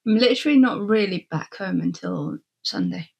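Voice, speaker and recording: A woman in her twenties co-hosting a casual football podcast, teasing her co-host with quick interjections. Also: medium pitch, wildly swooping pitch, vocal fry, husky.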